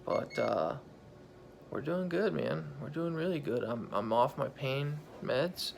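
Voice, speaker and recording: A man in his thirties with a hoarse, croaky voice after intubation, speaking tiredly into a phone held at arm's length in a hospital room. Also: expressive, slow pace, slight background noise. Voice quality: gravelly, croaky. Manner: tired, low-key, relieved. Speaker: patient recording a video diary. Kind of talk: casual video journal.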